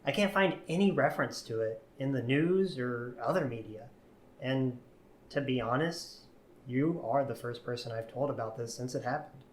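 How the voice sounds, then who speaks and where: A man playing an earnest, haunted man in his early twenties who tells his eerie story haltingly in an audio drama. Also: medium pitch, natural voice. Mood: earnest, pleading. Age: young adult. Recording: roomy, echoey voice recording.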